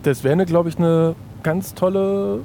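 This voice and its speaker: A man's voice: fairly even intonation, a little slow, creaky, mellow. A man chatting casually as a conversation partner on a podcast. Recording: faint rumble of outdoor city traffic. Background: faint rumble, vehicles.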